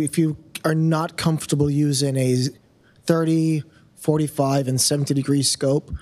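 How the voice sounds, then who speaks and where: Male surgeon, 50s, relaxed humor, a bit higher pitch, handheld mic. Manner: relaxed, joking. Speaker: panelist surgeon. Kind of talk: conference panel talk. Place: conference room.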